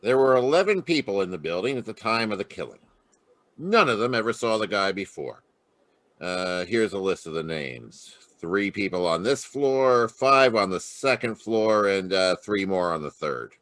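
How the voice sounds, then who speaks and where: Gruff police lieutenant, acted by a man: lowish, hard-edged, impatient, dry sound, radio-drama delivery. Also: expressive, normal speed, a bit bright, dry recording. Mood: businesslike. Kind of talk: old-time radio detective acting.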